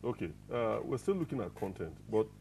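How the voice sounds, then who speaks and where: A man with a Nigerian English accent, hosting a TV interview and asking questions haltingly, with many ums and repeated words. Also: lowish voice, very animated, gravelly, a little mellow, slight background noise. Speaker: TV show host. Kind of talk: TV studio interview.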